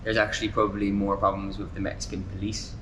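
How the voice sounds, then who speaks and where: A man's voice: on the low side, fast talker, a little hiss or hum, low-quality recording. A young man in his twenties with an Irish accent, chatting casually as an interview guest with lots of "um" and "like". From Ireland. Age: 20s. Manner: relaxed, frequent fillers like "um" and "like". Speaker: traveler guest.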